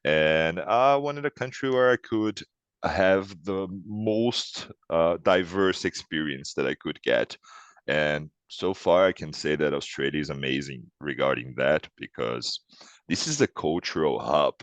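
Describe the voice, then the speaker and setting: Brazilian guy in his 20s, super deep voice, chatty, laughs a bit, dry close sound. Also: measured pace, smooth, no echo, lo-fi sound. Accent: Brazilian Portuguese. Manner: thoughtful, frequent restarts and soft laughs. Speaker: international student, interviewee. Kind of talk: casual interview answers.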